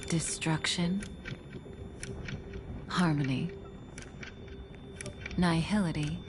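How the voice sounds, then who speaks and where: An actress playing a calm, enigmatic mentor in a video game, speaking softly and tenderly in a measured way. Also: female voice, sits low, background noise. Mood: solemn, tender. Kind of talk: scripted game voice acting. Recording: music behind the voice. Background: clear background music.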